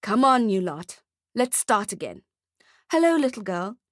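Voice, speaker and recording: A woman's voice: medium pitch, big pitch swings, a little slow, fairly bright tone, no echo, clean studio sound. A woman voicing a weary, exasperated man who plays a mall Santa in a storybook, his politeness strained by irritation. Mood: forced cheer. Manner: forced politeness breaking into irritation.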